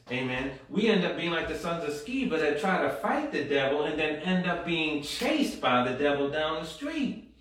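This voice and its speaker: A man's voice: on the high side, fairly bright tone. A man preaching a sermon, reading scripture aloud and building to fervent exhortation with frequent amens and call-and-response, recorded in a church hall. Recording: very echoey room from far off.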